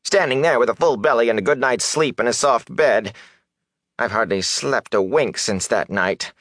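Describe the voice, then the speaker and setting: A man voicing a ragged, nervous peddler in an audiobook, with a rasping, whining voice and rustic turns of phrase. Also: medium pitch, big pitch swings, a little slow, bright, forceful tone, no room sound, clean studio sound, pitched above the performer's own voice, full character voice. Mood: bitter, whining. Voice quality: rasping, sometimes dropping to a hoarse whisper. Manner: rustic dialect, nervous, wheedling rhetorical questions.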